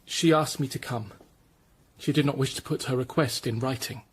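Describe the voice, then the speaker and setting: A man's voice: medium pitch, moderate pace, husky, close to an everyday voice. A young man with an English accent playing a discreet, deferential Tudor court lawyer in a radio drama, speaking politely and carefully. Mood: urgent, discreet. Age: young adult.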